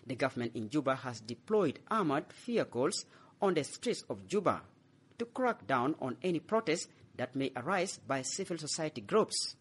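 A man's voice: on the high side, very animated, slightly rough. A man filing a scripted radio news report as correspondent. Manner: measured reporting.

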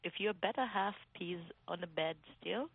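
A woman's voice: fairly low pitch, moderate pace, creaky, breathy edge, no room sound, narrow, tinny audio. A woman from Africa, a guest on a talk show, giving a frank, anecdotal opinion. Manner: frank, anecdotal, stammers at starts. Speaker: panel guest. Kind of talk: casual opinion on a panel.

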